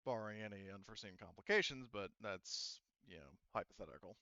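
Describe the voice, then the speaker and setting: A man's voice: lowish voice, animated, fairly quick, bright, forceful tone, no room sound, lo-fi sound. A man acting as game master, explaining the tabletop game situation to players and often rephrasing himself as he goes.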